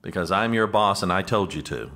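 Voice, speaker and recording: An actor playing an officious, exasperated American presidential aide in a comedic audio drama, speaking formally and managing others with strained patience. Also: male voice, low voice, expressive, brisk, bright, forceful tone. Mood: stern.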